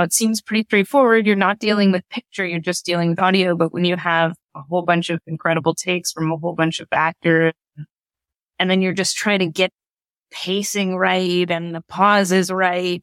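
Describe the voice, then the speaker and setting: A woman's voice: lowish voice, fairly bright tone, no room sound. A woman talking casually as an interview guest, telling things anecdotally and repeating words for emphasis. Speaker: podcast guest, audio drama creator.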